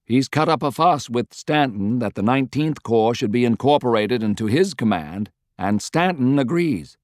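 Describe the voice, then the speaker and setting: A man's voice: medium pitch, animated, moderate pace, a little mellow, dry recording, clean studio sound, lightly acted. A man voicing a loyal, good-humored adviser in an audiobook, briefing frankly and earnestly.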